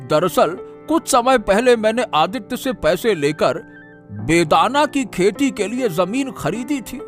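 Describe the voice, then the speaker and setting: A man plays an aggrieved cartoon farmer with a high, very lively voice. He pleads his case deferentially and plaintively, with soft music behind. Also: a little slow. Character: troubled, aggrieved village farmer. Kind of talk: Hindi cartoon moral story dialogue.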